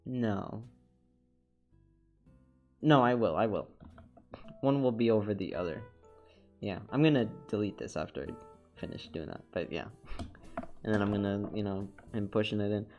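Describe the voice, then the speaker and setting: A man's voice: on the low side, animated, normal speed, pauses often, lo-fi sound, faint music behind. Congested young man rambling on stream. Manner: rambling, repeats words and restarts, laughs often. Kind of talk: casual chat while animating on stream.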